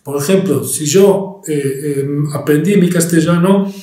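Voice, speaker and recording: An Israeli historian with a Spanish accent picked up in Argentina, lecturing and pausing often with fillers and restarts. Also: male voice, a little high, mellow, reverberant. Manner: hesitant, many fillers and restarts.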